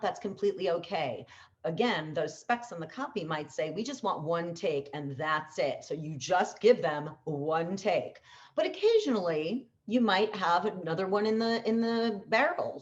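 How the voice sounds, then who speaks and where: A woman's voice: lowish voice, muffled recording. A woman coaching in a conversational, instructional way, stressing key words by repeating them and asking rhetorical questions. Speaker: voice-over coach. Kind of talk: instructional talk with tips.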